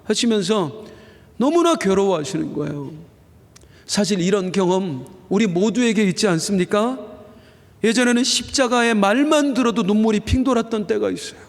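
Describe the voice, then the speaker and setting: A man with a high voice preaches a Sunday sermon from the pulpit of a church sanctuary. He draws phrases out slowly for weight, then lifts his voice on the points he most wants to land. A long reverb trails every line through the hall. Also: lively intonation. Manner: earnest preaching, slowing down for emphasis and rising on key phrases. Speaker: senior pastor.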